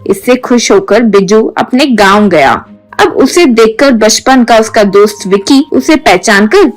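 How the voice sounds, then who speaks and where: A woman's voice: fairly high pitch, expressive, a little slow, faint music behind, poor audio. A woman reading a scripted children's story as its narrator.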